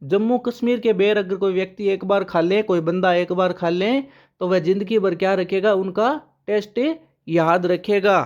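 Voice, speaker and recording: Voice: mid-range voice, fairly even intonation, moderate pace, a little mellow, dull audio. A current affairs teacher giving an exam-prep lesson with memory tricks, who asks questions aloud, answers them and repeats the key names.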